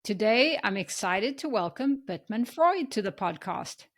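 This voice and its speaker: A woman's voice: mid-range voice, big pitch swings, measured pace, a little hiss or hum. A woman hosting a podcast, introducing and questioning a guest in a conversational interview style. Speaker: podcast host.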